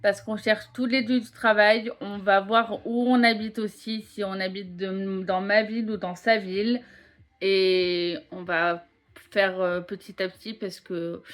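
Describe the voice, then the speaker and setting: A woman in her late twenties chatting casually to her viewers as a vlogger. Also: medium pitch. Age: 20s. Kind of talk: casual vlog chat.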